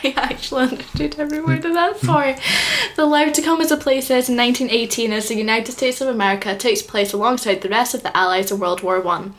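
A woman's voice: fairly high pitch, steady tone, fast talker, hardly any pauses. A young woman co-hosting a podcast in giggly casual chat, full of fillers. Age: young adult. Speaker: podcast co-host.

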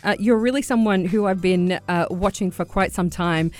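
A woman's voice: fairly low pitch, normal speed, darkish tone, faint music behind. A woman hosting a radio interview show, introducing and questioning a guest in an enthusiastic way with some fillers. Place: radio studio.

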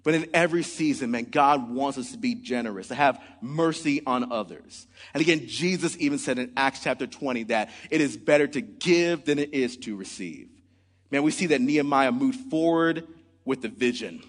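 A man's voice: medium pitch, moderate pace, breathy edge, big-room sound. A man in his forties preaching a sermon in earnest, often opening with "man" or "look". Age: 40s. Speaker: pastor.

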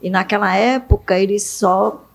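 A woman's voice: mid-range voice, normal speed. An older woman speaking as a business guest on a panel, telling family stories in a casual, self-deprecating way. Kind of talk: casual anecdotal talk.

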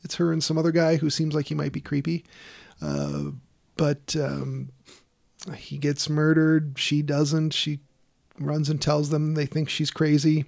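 A man's voice: a little high, unhurried, gravelly, a little mellow. A man casually recapping a horror film on a podcast, rambling and wry, with frequent fillers and laughs. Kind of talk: casual comedic chat.

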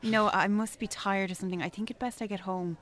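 A woman's voice: medium pitch, steady tone, brisk, fairly bright tone, natural voice. An actress playing a polite, uneasy woman in a naturalistic radio drama, courteous, hesitant and slightly formal.

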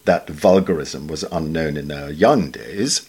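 A British man reading a scripted literary essay in a formal, measured, wryly understated way. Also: a bass voice, a little mellow, breathy edge. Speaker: reader of newspaper essays.

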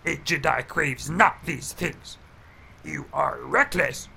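A man playing a tiny ancient alien master in an audio drama, mischievous, cranky and wise, with a croaky voice and inverted phrasing. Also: fairly high pitch, takes it slowly, pushed and bright, a little hiss or hum, exaggerated voice. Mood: grave, stern. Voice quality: croaky, gravelly. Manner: inverted syntax, chuckles and hums between phrases. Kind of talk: audio drama character acting.

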